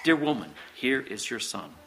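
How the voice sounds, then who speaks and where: Dying holy man on the cross male reader acting: solemn, slow, resolute, gentle under anguish, mid pitch. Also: big pitch swings, a little hiss or hum.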